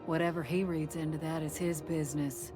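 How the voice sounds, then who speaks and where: A woman's voice: sits low, level tone, brisk, music in the background, natural voice. A woman voicing a blunt female commandant who hands out bounties in a video game. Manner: blunt, folksy. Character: gruff female commandant, vengeful bounty giver.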